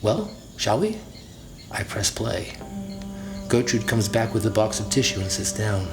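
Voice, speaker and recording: A man's voice: lowish voice, fairly even intonation, normal speed, creaky. A man narrating a literary audiobook in the first person, with dramatic delivery. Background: music.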